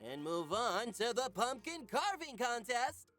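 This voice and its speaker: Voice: mid-range voice, very animated, measured pace, a bit bright. An actor voicing a weary, befuddled male schoolteacher in a visual novel, dry and hesitant. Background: faint music.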